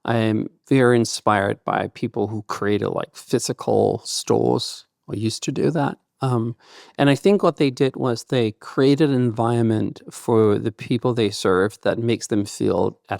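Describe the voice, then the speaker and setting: A man whose first language is Danish talks through his ideas as a podcast guest, filling his sentences with loose "kind of" and "like". His voice sits fairly low and has a gravelly, creaky texture. The recording is close and dry, with no room sound. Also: a little mellow, no room sound. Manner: loose and thoughtful, with many fillers like "kind of" and "like". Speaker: guest entrepreneur. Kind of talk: conversational podcast interview.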